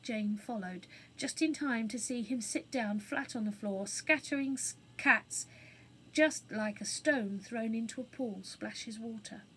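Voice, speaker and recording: A woman storyteller giving an expressive narration of a children's story. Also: fairly high pitch, unhurried, a little hiss or hum, rough recording.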